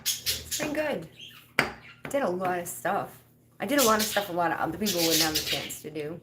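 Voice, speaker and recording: A woman's voice: on the low side, brisk, very noisy. A woman in her fifties chatting casually on a livestream, rambling and laughing often. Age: 50s. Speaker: livestream host.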